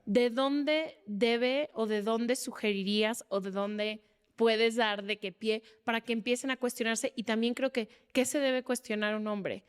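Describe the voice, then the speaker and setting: Clear, high-voiced Mexican woman. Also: fairly even intonation, unhurried. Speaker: podcast host. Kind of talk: conversational interview.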